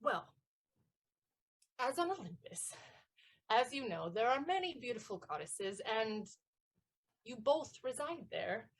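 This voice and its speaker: A woman's voice: on the high side, sing-song, a little slow, pauses often, slight background noise. A woman playing a lovesick teenage page boy in an audio play, plaintive and pleading. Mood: coy. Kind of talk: stage audio play acting.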